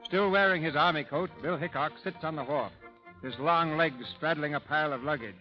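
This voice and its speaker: A man's voice: on the high side, fairly quick. A man narrating a 1930s radio program as its announcer in a formal, theatrical scripted style. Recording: muffled vintage 1930s radio broadcast. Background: music.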